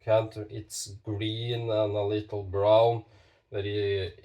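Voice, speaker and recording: A man with a stuffed-up nose walks through a sharpening demo at a slow, unhurried pace, often pausing and correcting himself. His voice sits a little low and sounds set back from the microphone. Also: flat delivery, recorded from a distance, muffled recording. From Norway. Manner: slow, halting, with pauses and self-corrections. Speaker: knife sharpening channel host. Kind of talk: casual hands-on demonstration.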